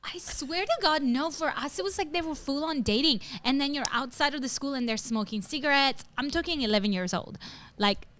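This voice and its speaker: A young adult woman from northern Italy co-hosting a podcast in casual chat, telling animated stories and leaping into high voices for quotes. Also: brisk, a little hiss or hum. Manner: animated storyteller, jumps into high acted quotes. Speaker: podcast co-host.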